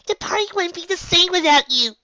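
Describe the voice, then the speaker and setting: A bubbly pony mare, as the reader puts her on, squeaks out her lines in a very high, choked voice. It sounds bright and dry, slightly dull and lo-fi. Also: lively intonation, brisk, dry recording, heavily acted. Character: bubbly, emotional female earth pony.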